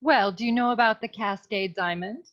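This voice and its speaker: A woman playing a flirtatious, coy femme fatale client with deadpan delivery in a comic noir radio play. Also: medium pitch, moderate pace, highs cut off, natural voice. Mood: earnest, deadpan.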